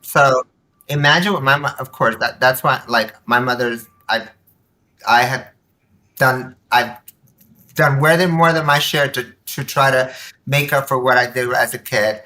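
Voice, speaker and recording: A man's voice: medium pitch, measured pace. A man speaking as a podcast guest, telling personal stories casually, acting out bits of dialogue and tossing in rhetorical questions. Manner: animated retelling with quoted dialogue and rhetorical questions. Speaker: podcast guest, community activist.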